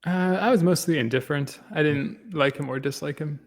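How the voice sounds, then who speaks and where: A man's voice: fairly high pitch, fairly quick. A man co-hosting a casual discussion show, talking informally in a voice with a reverberant tail. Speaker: podcast co-host. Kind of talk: casual discussion, reading out comments.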